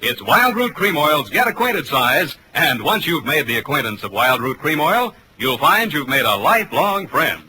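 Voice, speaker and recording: A man's voice: on the high side, sing-song, moderate pace, few pauses, bright, forceful tone, slight background noise, low-quality recording. An American man announcing in polished 1940s radio style, reading sponsor copy and credits like a salesman. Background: music.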